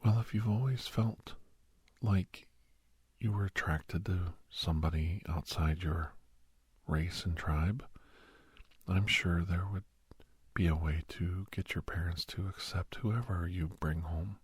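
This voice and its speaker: A man playing a kindly doctor in an ASMR roleplay, speaking in a hushed, breathy whisper with pauses. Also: low-pitched, no room sound, heavily acted. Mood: reassuring, confiding. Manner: hushed, unhurried, with pauses and soft chuckles. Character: kindly doctor advising a young werewolf, old family friend.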